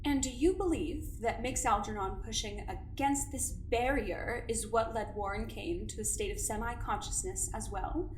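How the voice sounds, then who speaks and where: A silky, condescending female doctor, played by a woman probes her subjects with cold politeness. Her voice sits a touch high. It rings around an echoey room with a faint hiss. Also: moderate pace, few pauses, a little mellow, close to an everyday voice. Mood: coolly probing. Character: smooth, manipulative female doctor interrogating coldly. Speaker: doctor and investigator. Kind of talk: audio drama acting.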